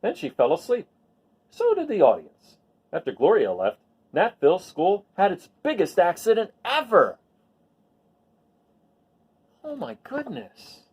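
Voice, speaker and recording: Male storyteller, picture-book read-aloud. Very animated, wide-swinging intonation, emphatic words, conversational asides. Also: sits high, unhurried, long pauses, slightly rough. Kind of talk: expressive read-aloud with chatty asides to listeners.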